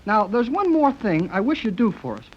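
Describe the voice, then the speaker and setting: A woman's voice: a little high, big pitch swings, a little fast, husky. A woman playing an eager, chatty teenage boy in a 1930s radio serial, full of breezy, exclamatory slang. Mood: eager, surprised. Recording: dull 1930s radio recording.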